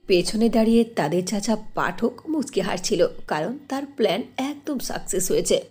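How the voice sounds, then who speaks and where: Husky, expressive woman storyteller. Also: mid-range voice, moderate pace. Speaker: narrator. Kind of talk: scripted fairy-tale narration.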